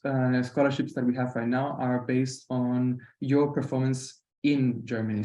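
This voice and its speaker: A man's voice: medium pitch, mellow. A man from Costa Rica with a Spanish accent, presenting informally in a webinar and often hesitating and repeating words. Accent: Costa Rican Spanish. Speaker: university program representative presenting. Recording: roomy online webinar audio.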